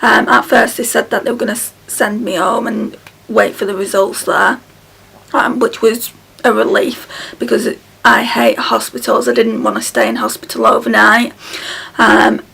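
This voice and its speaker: A woman in her thirties chatting to camera at home, her voice hoarse and croaky from illness, tired and halting with many ums. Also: a little high, flat delivery, fairly bright tone, poor audio. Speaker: beauty vlogger. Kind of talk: casual chat to camera. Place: small room at home, indoors. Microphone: camera close in front.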